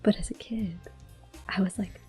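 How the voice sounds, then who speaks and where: A woman softly whispering gentle, unhurried ASMR commentary over a video game, with a breathy, hushed voice. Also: mid-range voice, steady tone. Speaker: gameplay host. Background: game music.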